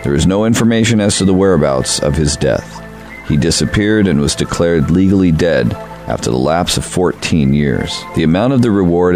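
A man voicing a formal, measured official reading documents aloud in a radio play. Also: sits low, a little mellow.

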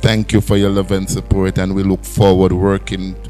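A man's voice: low voice, monotone, moderate pace, dark tone, soft background music. A Jamaican man in his thirties, operator of a training institute, giving an earnest formal address into a handheld mic while seated indoors. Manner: earnest, speech-like. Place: indoor room, seated in armchair.

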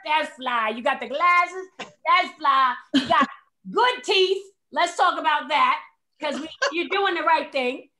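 A woman's voice: sits high, sharp tone. A woman hosting a casual video call chat, playful and slangy, hyping up her guests. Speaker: host.

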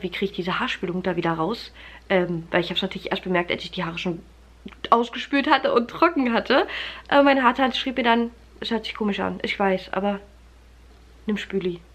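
A woman in her thirties with a stuffy nose from a cold, chatting casually to the camera in her living room, full of fillers and friendly asides to viewers. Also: mid-range voice. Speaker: family vlogger. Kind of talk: casual vlog chat to camera. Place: living room at home, indoors. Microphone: camera held close at arm's length.

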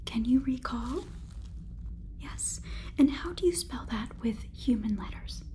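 A woman in her twenties doing a whispered ASMR role-play as a polite, curious AI robot overlord, speaking softly and haltingly close to the microphone. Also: fairly high pitch, expressive, a little hiss or hum. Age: 20s. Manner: soft, halting, deliberate whisper. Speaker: ASMR performer. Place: small room indoors, close up. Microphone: close sensitive microphone.

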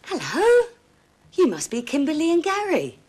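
Old-time housewife, bustling and hospitable, lively lilting speech. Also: female voice, high-pitched, normal speed. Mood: welcoming. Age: middle-aged. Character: warm Edwardian housewife, bustling hostess. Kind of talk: children's TV drama acting.

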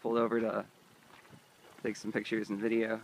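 A man's voice: on the low side, moderate pace, gravelly, slight background noise, low-quality recording. A young man narrating his road trip casually while filming outdoors in open desert on a handheld camera. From Indiana, United States. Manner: relaxed, touristy commentary while walking.

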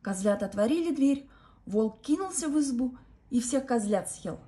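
A woman reading a folk fairy tale aloud for children at bedtime, in a gentle, even storytelling manner. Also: high voice, moderate pace.

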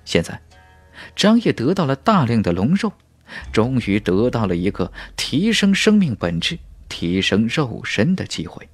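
A man's voice: medium pitch, sing-song, measured pace, no room sound, soft background music. A man narrating a fantasy novel as an audiobook, in a dramatic, emphatic storytelling style.

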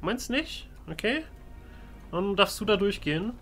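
A man's voice: high voice, big pitch swings, measured pace, a bit bright, soft background music. A man giving playfully ironic, in-character commentary as a let's play host. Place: voice-over on game footage.

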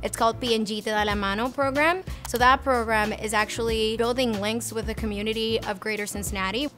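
A woman in her thirties from Puerto Rico, an engineer answering interview questions with clear, earnest explanations, recorded on an earbud mic in a lab storeroom. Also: on the high side, steady tone, brisk, a bit bright. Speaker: research and development engineer. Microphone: earbud headset mic. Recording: music bed underneath.

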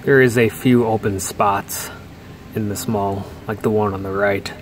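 A man with a low, even voice gives a relaxed walking-tour commentary as he films inside a large, mostly empty mall. He speaks in a flat, unhurried way and pauses as he goes. A faint hum of indoor ambience sits behind him. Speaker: mall explorer vlogger.

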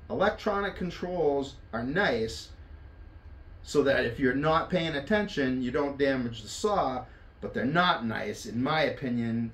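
North American man in an echoey workshop. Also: medium pitch. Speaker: tool reviewer. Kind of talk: casual hands-on product review. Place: indoor wooden workshop. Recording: echoey room, faint background noise.

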